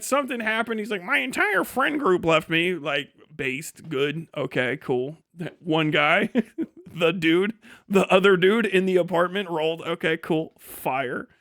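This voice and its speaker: A man with a fairly high voice, recorded close and dry. He talks like a livestreamer riffing off the cuff, swearing freely and mocking as he goes, often prone to laughing through his words. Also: fairly bright tone, no echo. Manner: crude, profane, mocking storytelling; laughs often while talking. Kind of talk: casual unscripted livestream commentary.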